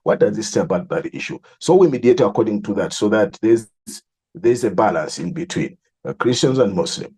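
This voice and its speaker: A middle-aged man with a Malawian accent, explaining his work in an interview in a deliberate way, repeating phrases for emphasis. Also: on the low side, animated, dark tone, breathy edge. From southern Malawi. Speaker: religious leader and mediator interviewee.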